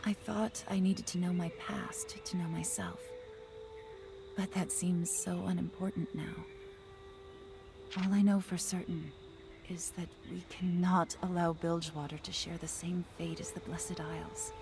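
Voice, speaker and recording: A woman acting as a gentle, grave game heroine searching for her past, speaking solemnly and deliberately. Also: lowish voice, little pitch movement, music in the background. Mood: earnest, grave.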